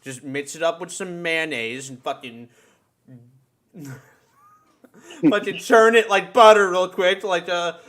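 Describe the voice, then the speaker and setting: A man's voice: high-pitched, takes it slowly, pauses often, a bit bright. A man hosting a live stream with casual, profane group banter and crude joking.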